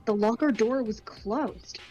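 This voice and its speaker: A woman's voice: fairly high pitch, takes it slowly, warm tone, soft background music. Dull-sounding woman in casual commentary. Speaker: let's play co-commentator. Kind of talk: casual game commentary chat.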